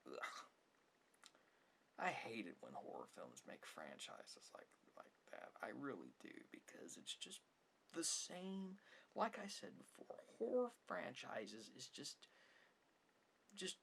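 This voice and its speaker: A man giving a rambling ASMR opinion talk with a husky, gravelly voice, halting and emphatic, often repeating and rephrasing himself. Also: mid-range voice, fairly bright tone, slight background noise. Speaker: ASMR video creator.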